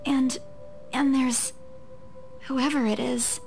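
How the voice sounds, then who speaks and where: A woman's voice: high voice, little pitch movement, takes it slowly, vocal fry, darkish tone, soft background music, pitched above the performer's own voice, exaggerated voice. A woman doing a flustered young realtor. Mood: worried. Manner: hesitant, stammering.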